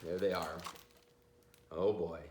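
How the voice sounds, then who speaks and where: A man's voice: low-pitched, little pitch movement, takes it slowly, background noise. A man reading a children's picture book aloud, playfully talking to his listeners with chatty asides. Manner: playful, addresses listeners directly. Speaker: read-aloud storyteller. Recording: roomy, echoey sound.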